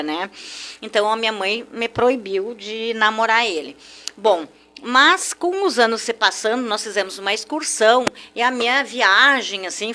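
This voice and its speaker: Older woman reminiscing. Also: on the high side. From southern Brazil, German-speaking community. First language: German. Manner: warm reminiscing, frequent "né?" tags. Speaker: guest. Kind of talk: nostalgic radio interview storytelling.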